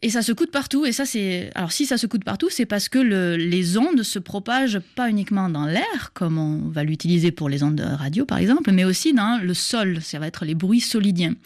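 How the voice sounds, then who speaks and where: A woman's voice: medium pitch, expressive, normal speed, few pauses, a little mellow, dry recording. A woman in her thirties whose accent blends southern French with Québécois. She explains science topics patiently, stopping and restarting often, with plenty of fillers. Her voice is recorded dry, with no room sound. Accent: southern French mixed with Québécois. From southern France. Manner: hesitant, many restarts and fillers. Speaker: guest, science popularizer. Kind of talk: explanatory interview talk.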